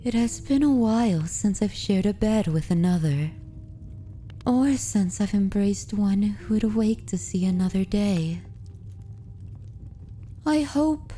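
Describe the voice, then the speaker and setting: A woman voicing a dominant, tender vampire mistress in a slow ASMR roleplay, speaking softly and often dropping to a whisper, with formal old-fashioned phrasing. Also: medium pitch. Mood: tender, possessive. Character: dominant, tender female vampire mistress soothing her pet.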